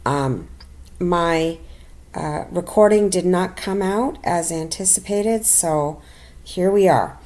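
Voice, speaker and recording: A middle-aged woman narrating a personal finance video as a voiceover, slightly raspy from illness, halting with many ums and restarts. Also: on the low side, darkish tone. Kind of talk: casual voiceover narration over a screen recording. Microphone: home voiceover mic.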